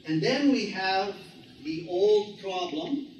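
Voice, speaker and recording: Slow, echoey academic presenter, man. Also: high-pitched, big pitch swings, creaky, darkish tone, highs cut off, a little hiss or hum. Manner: measured, many "uh" pauses. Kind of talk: conference research talk. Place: lecture room with projector screen. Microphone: far camera. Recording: echoey room from far off.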